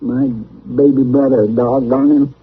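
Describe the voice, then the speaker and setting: An actor playing an exasperated countrified deputy sidekick in an old radio western, with a Southern US accent and folksy, complaining speech. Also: male voice, mid-range voice, fairly even intonation, natural voice. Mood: anxious, grumbling. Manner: folksy, complaining, drawn-out protests. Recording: muffled, hazy old radio recording.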